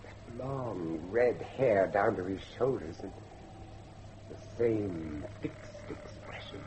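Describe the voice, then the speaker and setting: A man's voice: fairly low pitch, animated, takes it slowly, a little mellow, a little hiss or hum. An actor playing a determined, troubled middle-aged father with formal, insistent speech in a gothic horror drama. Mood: awed unease. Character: determined, troubled father hunting for his missing son.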